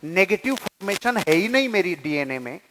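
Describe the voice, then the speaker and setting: A man's voice: high voice, big pitch swings, moderate pace, fairly bright tone, a little hiss or hum. A man giving an emphatic motivational seminar speech, repeating key phrases and putting rhetorical questions in colloquial speech sprinkled with English words. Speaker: motivational speaker and network marketing leadership trainer. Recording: long hall reverb.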